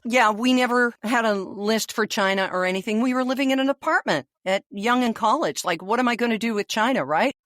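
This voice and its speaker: A woman's voice: on the high side, brisk, hardly any pauses, a bit bright, no room sound, clean studio sound. A middle-aged woman with a Canadian accent co-hosting a podcast, chatting casually in a reflective, slightly wry, conversational way. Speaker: podcast co-host. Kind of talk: casual chat.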